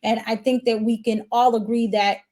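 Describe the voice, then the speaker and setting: A woman hosting a casual interview chat, lively and animated, hyping up her guest. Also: fairly high pitch, moderate pace. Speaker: host.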